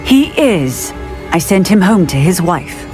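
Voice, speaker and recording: A woman's voice: lowish voice, sing-song, a little slow, darkish tone, faint music behind. A woman playing a protective, authoritative wizard police officer in a video game, with formal speech. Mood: stern, relieved.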